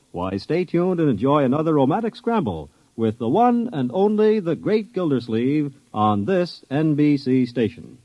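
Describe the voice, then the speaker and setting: A man's voice: mid-range voice, no room sound. An American man working as a network announcer, reading a promo in 1950s radio style. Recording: dull, dry vintage radio recording.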